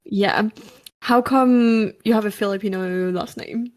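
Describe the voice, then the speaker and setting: A woman hosting a podcast interview, friendly and upbeat, reacting with quick short affirmations. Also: mid-range voice. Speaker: podcast host. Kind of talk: casual interview conversation.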